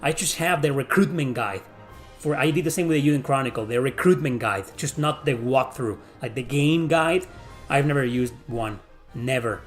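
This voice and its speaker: A middle-aged man with a Mexican accent chats through viewer questions at a mid pitch. He talks bluntly, swears freely and rambles with lots of fillers. Faint music plays underneath. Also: moderate pace. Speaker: YouTube host answering viewer questions. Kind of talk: casual Q&A chat.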